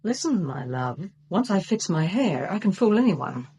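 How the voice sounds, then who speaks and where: An actress playing a bold, self-assured young adventuress in a radio drama, with a playful, provocative manner. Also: female voice, lowish voice, big pitch swings, normal speed, warm tone, slightly rough, heavily acted. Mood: teasing, commanding. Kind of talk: British radio drama acting.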